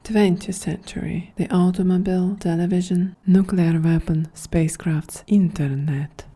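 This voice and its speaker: A woman voicing a calm, quietly grandiose female android in a breathy, half-whispered, soft-spoken ASMR roleplay. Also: on the low side. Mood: measured, lecturing. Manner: slow, measured, solemn delivery.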